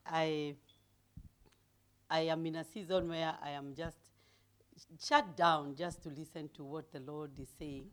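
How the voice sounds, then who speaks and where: A middle-aged woman from Kenya with a deep voice, preaching as a guest speaker, humble and given to laughing, growing loud and emphatic as she reads scripture. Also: fairly even intonation, measured pace, pauses often. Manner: grows loud and emphatic when reading scripture. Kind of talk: sermon.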